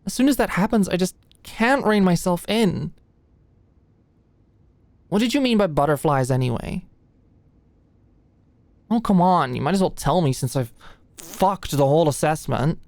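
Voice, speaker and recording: Woman acting as young female student, anxious, halting, unhurried pace, dry close recording, ASMR roleplay. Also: fairly low pitch, animated, a little mellow, no room sound. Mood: sheepish, deflated. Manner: halting, stammering, flustered. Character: anxious, stressed young female medical student, flustered and oblivious.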